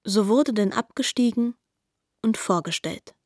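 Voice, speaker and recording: A woman's voice: a little high, measured pace, no echo, clean studio sound. A woman reading scripted literary narration as a narrator in a radio drama.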